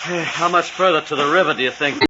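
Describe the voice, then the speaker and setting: An actor playing a tough, bitter American sidekick in a 1940s radio adventure, with slangy, excitable speech and a husky voice. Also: male voice, fairly high pitch, quick pace, very noisy, close to an everyday voice. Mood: weary, uneasy. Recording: muffled vintage 1940s radio recording.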